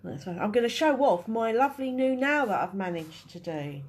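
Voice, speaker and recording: Woman, stuffy from a cold, relaxed chatty nail tutor, higher pitch. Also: fairly quick. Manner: chatty, restarts while working. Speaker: nail technician teaching a live class. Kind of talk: casual live tutorial.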